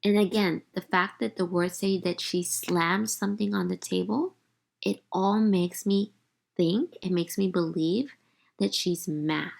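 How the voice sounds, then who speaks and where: A woman's voice: fairly low pitch, a little slow, creaky. A woman teacher leading a read-aloud lesson for young readers, instructive and speaking directly to her listeners. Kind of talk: read-aloud lesson, storybook narration and guided questions.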